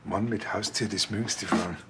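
Simple-hearted Bavarian police officer, low pitch, earnest, colloquial, TV comedy. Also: male voice, fairly even intonation, moderate pace, a bit bright. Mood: earnest, casual. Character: naive, animal-loving male small-town police officer. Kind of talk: TV crime comedy acting.

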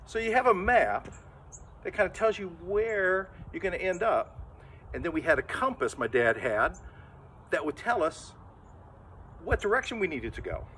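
A man's voice: on the high side, slight background noise. An older man giving a children's sermon outdoors in front of a church, talking casually and acting out little voices as he goes. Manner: lively storytelling, acts out inner voices, gestures a lot. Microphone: camera a few metres away, standing full figure.

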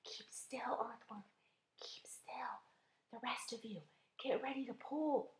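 A woman's voice: on the high side, takes it slowly, voice pushed up. An urgent, brave young boy from a storybook acted by a woman narrator, giving orders with bossy intensity. The voice rings with a roomy echo. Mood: hushed, urgent. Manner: urgent hushed whispers and excited shouts.

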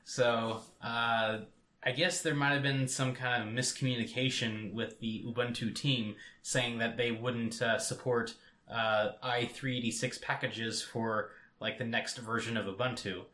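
A man co-hosting a tech podcast, talking casually and rambling with lots of fillers. His voice sits at a middling pitch in a roomy, echoey space. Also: little pitch movement, measured pace, pushed and bright. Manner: rambling, many fillers and "you know"s. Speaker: podcast co-host.

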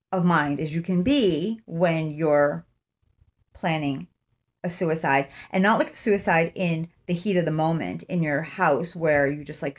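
A middle-aged woman with a slightly low voice talks casually to camera. She sounds like a true-crime vlogger reasoning out loud, conversational and unscripted, speaking into a phone indoors. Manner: conversational, thinking aloud. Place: small room indoors, seated in an armchair.

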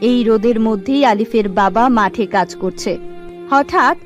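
A woman's voice: on the high side, a little slow, dull audio, faint music behind. A woman narrating a scripted cartoon moral story.

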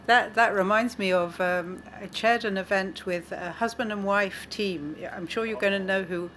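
Female event chair, medium-pitched voice, informal moderation, live stage sound with faint noise. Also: moderate pace, fairly bright tone. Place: indoor book festival stage before an audience. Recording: live event microphone, background room noise.

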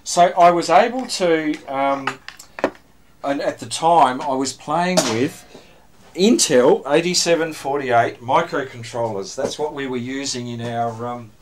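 A man's voice: medium pitch, a little slow, slight background noise. An older man presenting to a radio club in a casual, rambling show-and-tell, with lots of fillers and restarts, recorded in a small room on a camera mic. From Australia. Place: small room with green backdrop.

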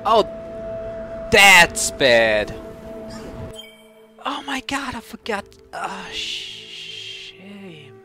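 A young man from Austria with a German accent, chatting slowly and hesitantly over his gameplay with lots of fillers. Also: sits high, huge pitch swings, music in the background. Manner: slow, hesitant, filler-heavy, self-mocking. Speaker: gameplay streamer. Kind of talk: casual let's-play commentary.

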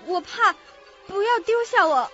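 A woman's voice: very high voice, sing-song, normal speed, over music. An actress playing a frightened, timid young woman in an audio drama, on a lo-fi recording. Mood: terrified. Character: frightened, timid young woman visiting her father's grave.

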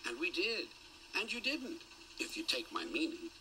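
A man voicing a kind, hard-working little male diesel engine who talks gently and modestly, in a children's storybook show. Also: fairly high pitch, huge pitch swings, moderate pace, a little hiss or hum, pitched above the performer's own voice, full character voice, poor audio. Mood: friendly.

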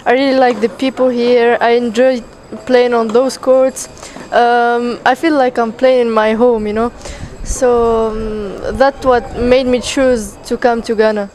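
A young woman from Tunisia, a junior tennis player, answering interview questions outdoors with many pauses and fillers. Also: on the high side, flat delivery, darkish tone, poor audio.

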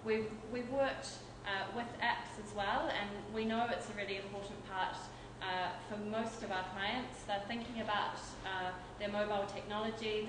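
A woman giving an informal company presentation at a tech meetup, hesitant, with frequent fillers and restarts. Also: medium pitch. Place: event venue with an audience. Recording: echoey room from far off, noisy.

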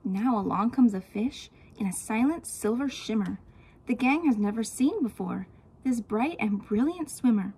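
A woman reading a children's storybook aloud as a teacher, expressive and speaking directly to young listeners. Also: on the high side, moderate pace, husky.

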